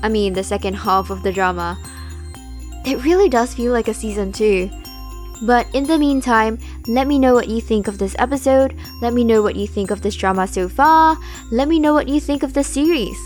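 A woman hosting a podcast, recapping a drama in a casual, thoughtful way, a little raspy and tired late at night. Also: a little high, moderate pace, faint music behind. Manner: thoughtful, musing. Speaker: podcast host.

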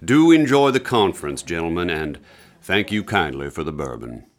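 An actor playing a courteous lawman in a radio drama, speaking formally and with measured politeness. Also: low-pitched, big pitch swings. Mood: courteous, grateful.

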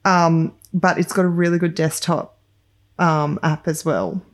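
A woman hosting a podcast, encouraging her guest with warm interjections. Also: deep voice, flat delivery, a little slow. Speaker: podcast host.

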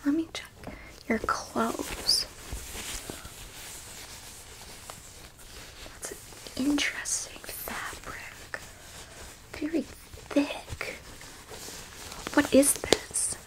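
Casting: woman to play an inquisitive, haughty alien abductor, high-voiced and whispering, clinical ASMR roleplay with blunt asides. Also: very slow, pauses often, rough recording. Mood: curious, musing. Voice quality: soft, breathy whisper. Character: curious, easily disgusted, haughty alien abductor examining a human.

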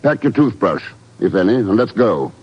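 An actor playing a cool, wry agent in charge in a 1950s radio drama, dry and unruffled, on a noisy old recording. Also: male voice, on the low side, big pitch swings, normal speed, highs cut off, over loud music. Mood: brisk, wry.

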